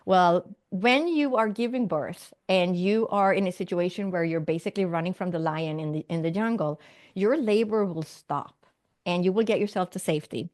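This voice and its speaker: Low-voiced Swedish-born woman telling a story. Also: moderate pace, a little mellow. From Sweden. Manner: earnest, vivid storyteller with light laughs. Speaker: guest, physician and medium. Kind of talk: storytelling interview answers.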